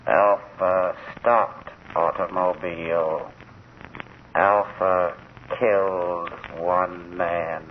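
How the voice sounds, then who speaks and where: An actor voicing a menacing, simple-minded machine man in halting, robotic third-person speech, in 1940s radio style. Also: low voice, flat delivery. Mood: flat, halting. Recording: muffled, narrow-band old radio recording with hiss.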